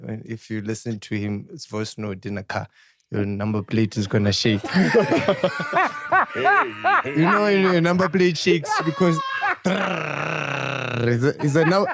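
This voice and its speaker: A man from South Africa, a podcast co-host, chatting in an animated, jokey way and laughing as he talks. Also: mid-range voice, a little slow, gravelly, pauses often. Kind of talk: casual chat.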